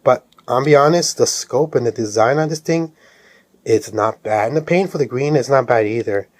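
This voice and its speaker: A man's voice: medium pitch, moderate pace, no echo. A man giving a casual, rambling product review as an enthusiastic fan of collectibles. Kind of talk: casual unboxing review vlog.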